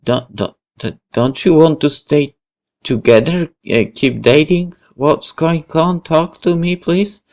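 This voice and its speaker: A man's voice: a little high, takes it slowly, pitched above the performer's own voice. A man voicing an anxious, pleading teenage boy who stammers, reading visual novel dialogue aloud.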